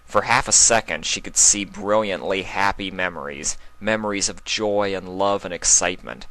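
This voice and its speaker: A man reads a story aloud as a dramatic narrator. His voice is very deep, with a bright, forceful edge that carries the scripted prose. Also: moderate pace, dry recording, rough recording. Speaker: narrator, fan fiction reader.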